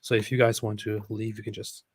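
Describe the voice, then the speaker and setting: A man's voice: on the low side, flat delivery, a little fast, husky, no echo, clean studio sound. A man hosting a casual livestream, talking in a rambling, sarcastic way with many fillers and restarts. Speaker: livestream host reading super chats.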